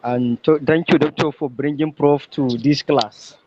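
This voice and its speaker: Male student, slightly high voice, polite, muffled dry call audio. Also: fairly even intonation, unhurried, mellow, slight background noise. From Nigeria. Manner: formal and polite, self-correcting. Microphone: video call.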